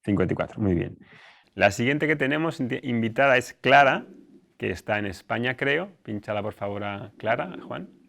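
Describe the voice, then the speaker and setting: A man hosting and introducing a student discussion, explaining things informally and somewhat haltingly. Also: mid-range voice, lively intonation, gravelly, a bit bright. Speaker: host, teacher.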